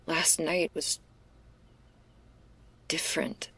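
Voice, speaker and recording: A woman acts out a female stoner werewolf in an ASMR roleplay, laid-back and caring with an anxious streak. Her voice sits low and crackles with fry. She talks slowly and hesitantly in casual slang, slipping between a breathy murmur and a whisper. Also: steady tone, bright, forceful tone, no echo, exaggerated voice. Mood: uneasy, confiding. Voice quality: husky, breathy, creaky. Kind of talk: intimate ASMR roleplay, spoken to the listener.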